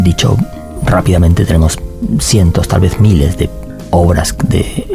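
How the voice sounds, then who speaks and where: A man, a novelist answering interview questions in a measured, thoughtful way with many fillers. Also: deep voice, creaky. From Argentina. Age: 40s. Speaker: novelist guest.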